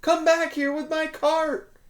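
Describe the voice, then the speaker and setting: A man voicing a flustered male library volunteer in a storybook, exclaiming loudly in a high, exasperated voice. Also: little pitch movement, takes it slowly. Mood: alarmed.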